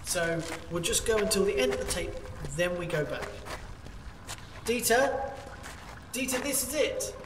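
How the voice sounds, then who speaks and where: A man's voice: high-pitched, animated, unhurried, long pauses. A man playing a cautious, reluctant cave explorer in an audio drama, anxious, hesitant and stammering. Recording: echoey cave-like reverb, distant, faint noise.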